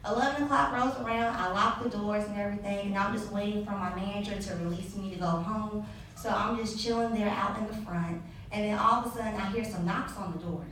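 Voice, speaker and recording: A woman's voice: medium pitch, steady tone, a little fast, fairly bright tone. Young woman, live storytelling, sassy, laughing asides, distant mic, faint room hum. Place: live event venue. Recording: echoey hall, distant mic.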